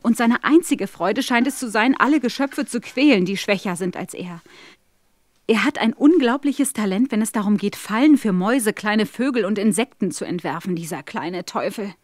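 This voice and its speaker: A woman plays a young governess in a German audio drama, anxious and very polite. The mid-pitched voice is earnest and formal, recorded close and dry. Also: animated, moderate pace, no room sound, close to an everyday voice. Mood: distressed, indignant. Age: young adult.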